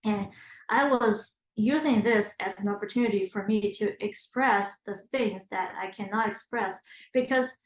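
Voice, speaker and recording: A woman in her thirties from China, first language Chinese, answering an oral history interview. She talks in a reflective way, pausing on fillers and restarting her sentences. Her mid-pitched voice carries a noticeable echo from the room. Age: 30s.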